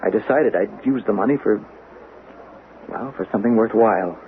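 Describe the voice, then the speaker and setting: An actor playing a defensive, bitter young man accused of murder in a radio drama, pleading and halting. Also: fairly low pitch, lightly acted. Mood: uneasy, resolute. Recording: noisy, narrow-band vintage radio recording.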